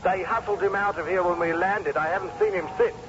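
An actor playing an anxious spaceship commander reporting over a radio link in an old-time radio drama. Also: male voice, high voice, steady tone, brisk, lightly acted. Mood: uneasy, reporting. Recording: dull, very noisy old radio recording.

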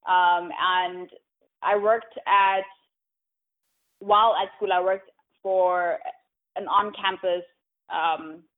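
A woman's voice: lowish voice, fairly even intonation, takes it slowly, long pauses. Woman on a tinny line. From South Africa. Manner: thoughtful, many "um"s and "right?" tags. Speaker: guest, tech community co-founder. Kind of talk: casual interview talk.

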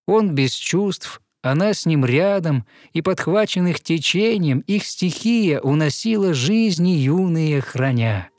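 A man narrating a fairy tale in verse for an audio play, with measured, rhythmic recitation. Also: on the high side, animated, a little mellow, no room sound, clean studio sound.

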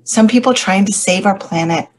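A woman who stutters, a church elder, giving a measured, reflective personal faith testimony. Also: mid-range voice.

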